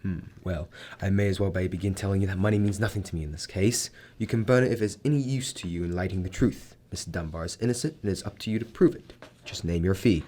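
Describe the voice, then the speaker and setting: A man's voice: sits low, fast talker, mellow. A man playing a blunt, demanding, hot-tempered mining magnate in an amateur radio drama. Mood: brusque, insistent. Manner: blunt, commanding.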